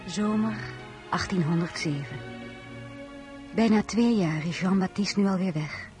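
An actress playing an earnest, anxious French marshal's wife in a radio drama, emotional and sincere in both dialogue and first-person narration. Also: female voice, fairly low pitch, a little slow, music in the background, close to an everyday voice. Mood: reflective narration. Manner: earnest, emotional, hesitant when pleading.

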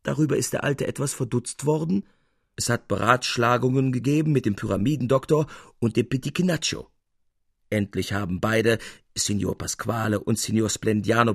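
Gravelly scheming painter, voiced by a man. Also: medium pitch, dry recording, clean studio sound. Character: gleeful, scheming painter friend, a confident man.

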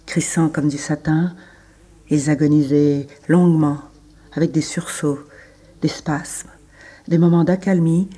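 A person reading literary French prose slowly and deliberately, in short measured phrases. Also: medium pitch, mellow. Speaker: reader of the French original.

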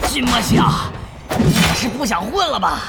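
An actress playing a hot-headed, spiteful online gamer who blusters, taunts and shouts in an audio drama. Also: female voice, a little high, very animated, a little slow, creaky, heavy background noise, heavily acted. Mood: frantic threats. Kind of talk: Chinese audio drama acting.

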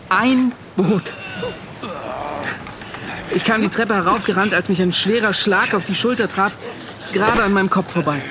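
An actor playing a tormented, defensive ship's officer, recounting in an agitated, pleading and halting way in a radio drama. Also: male voice, high-pitched, noisy background, natural voice. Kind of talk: radio drama acting, confessional monologue.

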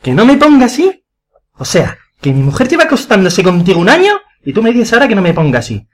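A man's voice: high voice, huge pitch swings, fast talker, full character voice. A man from Spain acting an exasperated, bewildered betrayed lover in a radio farce, agitated and colloquial, with a Spanish accent. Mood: outraged. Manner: agitated, colloquial, repeating himself. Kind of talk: radio play comedy acting.

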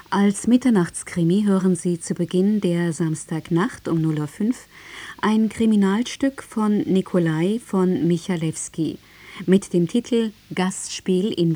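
A woman radio announcer reading a formal script in a measured, carefully enunciated way. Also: mid-range voice, warm tone.

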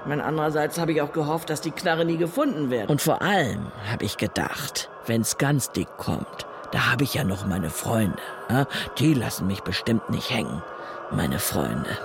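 A man's voice: mid-range voice, lively intonation, normal speed, background noise, lightly acted. An actor playing a bitter, cynical man on the run from his past in a radio play, talking colloquially and sardonically. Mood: rueful. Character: bitter, cynical male ex-fugitive who drinks. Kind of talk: naturalistic radio drama acting.

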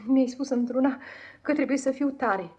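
An actress playing a meek, anxious, affectionate young wife in a radio play, pleading and hesitant in an apologetic way. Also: female voice, sits high, dark tone, husky, dull audio. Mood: timid protest. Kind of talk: 1950s radio theatre acting.

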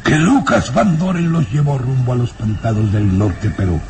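A man's voice: mid-range voice, close to an everyday voice. An actor playing a sinister, scheming middle-aged baron in a melodramatic radio serial, imperious and gloating, drawing out his words. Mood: menacing, gloating. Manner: imperious, slow gloating delivery with drawn-out words. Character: sinister scheming baron, menacing, gloating father and castle lord. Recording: noisy old radio drama recording.

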